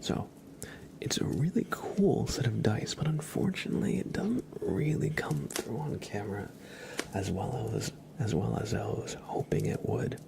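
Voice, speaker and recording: A man hosting an ASMR livestream, chatting softly and breathily to viewers, unhurried and often repeating words. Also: lowish voice. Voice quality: whispered, breathy soft voice. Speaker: livestream host.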